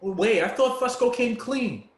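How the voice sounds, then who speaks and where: A man's voice: very high voice, animated, normal speed. An actor playing a naive, anxious, inquisitive homeless man in a stage play reading. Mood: surprised. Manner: credulous, inquisitive. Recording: echoey room with background noise.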